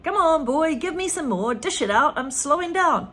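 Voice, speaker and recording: A woman's voice: sits high, expressive, moderate pace, fairly bright tone, voice pushed up. A woman voicing a wild, screechy old grandmother who shrieks with glee. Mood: gleeful. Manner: shrieking, gleeful.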